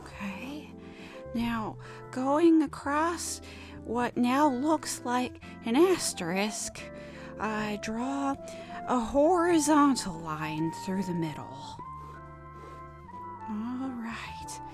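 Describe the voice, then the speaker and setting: A woman playing an awkward, wistful drawing teacher on a macabre comedy podcast, speaking in a halting, deliberate way. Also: fairly high pitch, lively intonation. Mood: halting, patient. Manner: halting, deliberate, hesitant instructor delivery. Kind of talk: scripted horror-comedy podcast monologue, mock drawing lesson. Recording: music behind the voice.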